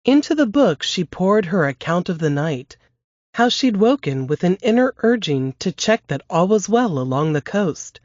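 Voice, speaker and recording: A woman reading aloud from her novel in a steady, measured narrating voice. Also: lowish voice, no room sound, highs cut off. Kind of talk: audiobook-style novel reading.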